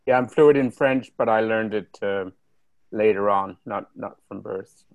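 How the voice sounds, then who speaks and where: A man's voice: lowish voice, measured pace, creaky, a little mellow, dry recording, highs cut off. A man on a group video call, friendly and stumbling over his words. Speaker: participant.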